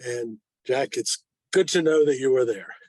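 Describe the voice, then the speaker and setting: A man's voice: mid-range voice, normal speed, dry recording, rough recording. A man explaining informally on a video call, with dry joking asides. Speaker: club member presenting. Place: online video meeting.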